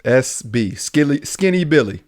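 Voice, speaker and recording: Male podcast co-host, mid-range pitch, casual, slangy, quick to joke. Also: expressive, darkish tone. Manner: slangy, joking. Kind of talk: casual chat.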